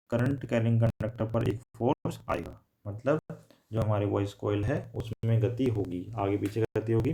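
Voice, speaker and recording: A man's voice: fairly low pitch, warm tone. A man teaching a technical lesson, repeating and rephrasing his points and mixing in English terms. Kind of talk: explanatory technical lecture.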